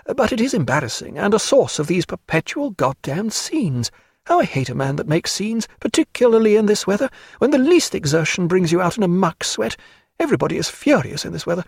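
Man putting on a high, gushing society lady. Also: very animated, a little fast, creaky, husky, dry recording, clean studio sound, heavily acted. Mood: chatty, vexed. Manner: gushing, chatty, breezy. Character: young society woman, effusive, vivacious, fretful in heat. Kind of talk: audiobook character voice.

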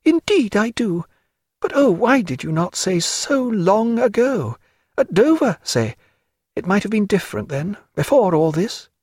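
Guy doing a vivacious posh society woman, high husky voice, chatty and a bit fretful, audiobook. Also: sing-song, unhurried, darkish tone, no room sound, studio quality, exaggerated voice. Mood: wistful, flustered. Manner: gushing, chatty, breezy. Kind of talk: audiobook character voice.

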